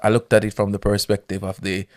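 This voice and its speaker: Measured man explaining. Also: on the low side, monotone, background noise. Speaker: commentator in a played clip. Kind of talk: explanatory commentary.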